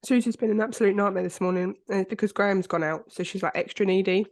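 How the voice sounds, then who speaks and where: A woman's voice: mid-range voice, fairly even intonation, quick pace, a little mellow, no room sound. A woman hosting a true-crime podcast, chatting casually with a congested, bunged-up voice and plenty of filler words. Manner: chatty, rambling, with frequent fillers. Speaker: podcast host.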